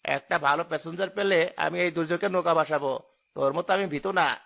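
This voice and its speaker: A man's voice: on the high side, brisk, creaky, sharp tone. A man playing a brash, greedy boatman in a cartoon horror story, boastful and scornful. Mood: scornful boasting. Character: brash, greedy boatman who scoffs at superstition.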